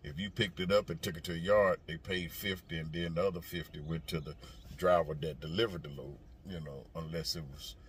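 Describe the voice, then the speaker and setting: A man with a fairly low voice talks off the cuff like a trucker vlogging to his followers. He is folksy and emphatic, circling back to repeat his points. A light layer of background noise sits behind him. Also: steady tone, a little fast. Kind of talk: casual opinion monologue to viewers. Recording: noisy ambience behind the voice.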